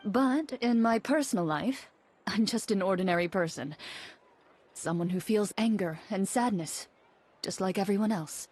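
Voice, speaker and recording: A woman voicing a composed female champion duelist in a game, calm, reserved and formal. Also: mid-range voice, normal speed, husky, natural voice. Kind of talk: game cutscene voice acting.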